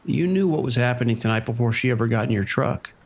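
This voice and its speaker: An actor playing a male vice detective, steady and questioning under pressure. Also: mid-range voice, fast talker, lightly acted. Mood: calm. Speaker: police detective.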